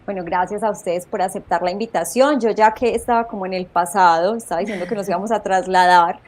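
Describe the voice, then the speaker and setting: A woman's voice: medium pitch, steady tone, fast. Woman hosting a faith interview show. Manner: warm devout greetings, loose self-correcting phrasing. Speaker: host. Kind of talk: welcoming interview on a faith program.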